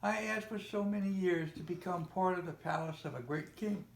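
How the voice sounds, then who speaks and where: A man reading a storybook voices a young talking tree, devout and full of childlike hope. The voice is earnest and a bit high. It sounds in an echoey room with faint noise. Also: moderate pace, gravelly. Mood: sad, pleading. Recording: roomy, echoey home recording with faint noise.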